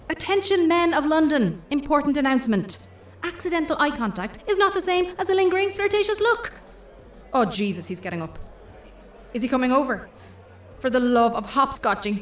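A woman acting in an audio comedy drama as the mischievous, mouthy inner voice of a young woman weary of dating, sardonic, exasperated and quick to swear. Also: high voice, animated, reverberant, recorded from a distance. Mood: indignant.

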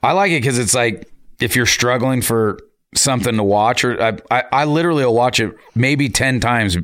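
A man's voice: mid-range voice, moderate pace, fairly bright tone. A man in his forties co-hosting a podcast in casual chat, laid-back with dry teasing. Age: 40s. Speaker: podcast co-host.

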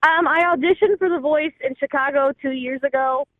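A woman in her twenties calling in by phone to audition as a singer, talking casually and haltingly. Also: high voice, no room sound. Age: 20s. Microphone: phone call. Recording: tinny, band-limited phone line.

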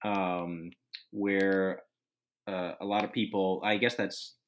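A man with a fairly low voice chats casually on a podcast. He rambles and restarts, piling up fillers as he works toward his point, and sounds close to the mic. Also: flat delivery, slow pace. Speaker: podcast host.

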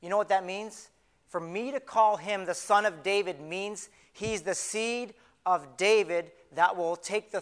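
Man playing a fervent blind beggar, high-pitched, halting first-person storytelling, big reverberant hall. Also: a bit bright. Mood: earnest, explanatory. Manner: halting, repeats phrases, conversational storytelling. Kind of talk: first-person dramatic monologue, retelling a Bible story. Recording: live in a large reverberant hall.

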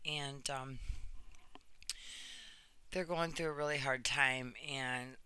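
A woman's voice: a bass voice, bright, forceful tone, clear, resonant tone. A woman reading a personal written story aloud in an earnest manner. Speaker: reader of another person's true story.

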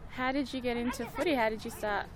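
A woman hosting a podcast, asking quick, upbeat interview questions at a local football club. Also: fairly high pitch, crowd noise. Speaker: podcast host and field interviewer. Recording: outdoor recording with noisy background.

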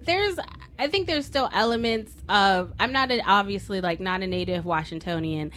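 A woman's voice: medium pitch, animated, normal speed, fairly bright tone, a little hiss or hum. A middle-aged American woman from Silver Spring, Maryland, a writer speaking as a guest in a studio. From Silver Spring, Maryland, USA. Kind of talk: story reading and casual studio interview.

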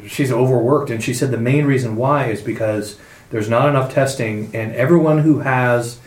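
A man in his fifties co-hosting a podcast in casual chat, easygoing and quick to laugh. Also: mid-range voice. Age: 50s. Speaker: podcast co-host. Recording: roomy room sound.

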